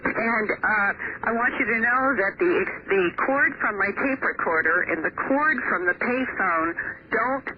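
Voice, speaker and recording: A woman reporter giving a measured, explanatory on-air report over a payphone line. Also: a little high. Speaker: investigative reporter and author. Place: on a payphone, phoned into a radio show. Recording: muffled noisy payphone line on radio.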